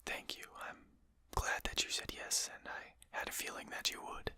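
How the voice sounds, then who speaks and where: A performer voicing a courteous, composed vampire lord in a breathy whisper, speaking formally and politely for an ASMR roleplay. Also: dry recording. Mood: reassuring, genteel. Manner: polite, formal, unhurried hushed speech.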